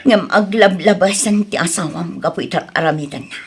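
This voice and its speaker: A woman's voice: fairly low pitch, fairly quick, husky. A woman acting an anxious, aggrieved mother in an Ilocano radio drama, speaking earnestly with an Ilocano accent. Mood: hesitant, confiding.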